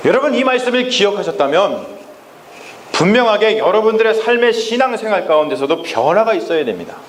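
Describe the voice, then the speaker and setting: A male pastor preaching a Sunday sermon in a church, speaking emphatically and often turning to address the congregation directly, slowing down to stress key phrases. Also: high-pitched, very animated, slight background noise. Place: church sanctuary. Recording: echoey church with faint ambience.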